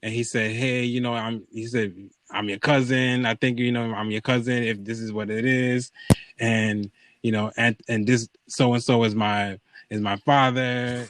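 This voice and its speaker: A man tells a story as a podcast host in relaxed, conversational style. His voice sits in the middle of the male range. He plays out quoted exchanges and often checks in with his listeners, on a dry, close recording. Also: medium pitch, measured pace, dry recording. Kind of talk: casual chat, storytelling.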